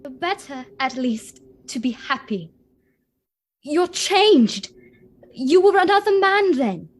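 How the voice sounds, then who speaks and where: An actress playing a sorrowful but resolute young fiancée in a radio play, measured and pausing between phrases. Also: female voice, high voice, very animated, full character voice. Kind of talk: theatrical radio play acting. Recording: noisy ambience behind the voice.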